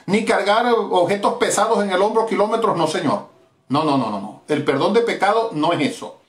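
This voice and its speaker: A man's voice: sits high, reverberant. A middle-aged Venezuelan man preaching a fervent evangelistic sermon as pastor, with emphatic repetitions. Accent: Venezuelan Spanish. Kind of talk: evangelistic sermon streamed live.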